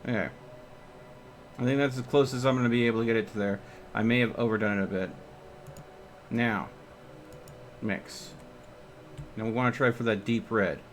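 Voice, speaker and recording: A man's voice: medium pitch, steady tone, moderate pace, pauses often, a little hiss or hum. A man doing casual live gaming commentary as a streamer, talking himself through each step with exclamations. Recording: music behind the voice. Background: music.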